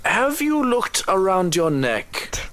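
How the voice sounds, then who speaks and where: Long-suffering manservant for a game, unhurried, expressive intonation, dryly sardonic. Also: male voice, high-pitched, exaggerated voice. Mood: sardonic deference. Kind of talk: visual novel game voice acting.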